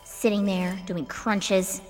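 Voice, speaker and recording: An actress playing an unhinged, self-pitying diva in a melodramatic, indignant comic inner monologue. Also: female voice, mid-range voice, little pitch movement, slow pace, darkish tone, natural voice. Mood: bitter, suspicious. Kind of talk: comedic inner monologue in audio drama. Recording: long reverb, music underneath.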